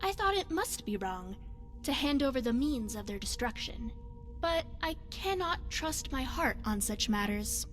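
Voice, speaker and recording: A woman playing a poised, secretive spy devoted to her goddess in a video game, speaking in formal, measured phrases. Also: high voice, very animated, a bit bright, soft background music, heavily acted. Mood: confiding, earnest. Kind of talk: scripted video game character dialogue.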